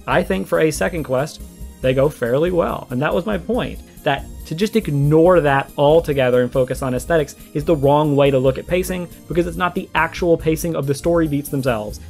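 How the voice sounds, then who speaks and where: Guy with kinda high voice doing response vid commentary, emphatic and argumentative, music in the back. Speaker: video essayist responding to another creator. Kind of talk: animated commentary in a response video.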